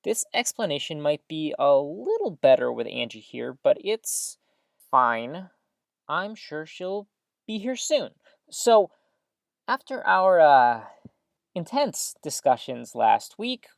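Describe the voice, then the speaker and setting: A woman's voice: low voice, big pitch swings, slow, creaky, no room sound, clean studio sound, heavily acted. A woman playing a chatty, upbeat small-town radio host in an audio drama, with folksy exclamations and theatrical news patter.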